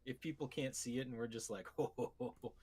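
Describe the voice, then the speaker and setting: A man's voice: medium pitch, quick pace, a little mellow, husky. A man with a mid-range voice hosts a casual podcast. He talks in a wry, bantering way, like someone chatting with friends. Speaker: podcast host.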